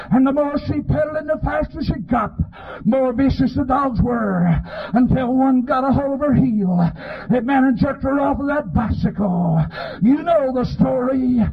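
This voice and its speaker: A man preaching a fervent revival sermon in a raspy, strained voice, pleading and often shouting, punctuating his phrases with amens. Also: very high voice, moderate pace. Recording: dull, lo-fi sermon recording.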